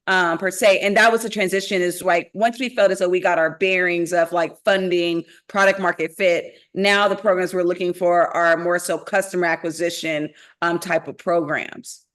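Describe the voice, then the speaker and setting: A woman's voice: on the low side, fairly even intonation, moderate pace, few pauses, a bit bright. A woman founder on a webinar panel, giving practical advice and listing concrete examples over a video call. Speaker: climate tech founder on a panel.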